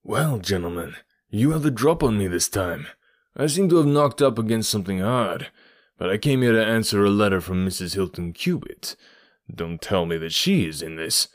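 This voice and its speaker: Guy doing crook in a detective audiobook, lowish voice, bitter n defiant, lovesick. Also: lively intonation, moderate pace, lightly acted. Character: fierce, proud, lovelorn American male criminal.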